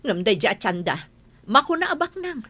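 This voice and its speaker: Actress as a bright, animated village woman moneylender. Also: a little high, moderate pace, heavily acted. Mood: worried complaint. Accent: Ilocano. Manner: scolding, emphatic. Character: aggrieved village woman moneylender, chasing unpaid debts. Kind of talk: Ilocano comedy radio drama acting.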